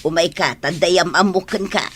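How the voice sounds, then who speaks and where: A woman playing a doting, chatty elderly Filipino mother in a radio drama, coaxing and motherly with a husky voice. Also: fairly low pitch, moderate pace, a bit bright, a little hiss or hum, close to an everyday voice. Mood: coaxing, fond. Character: doting elderly mother, a cheerful matchmaking senior citizen.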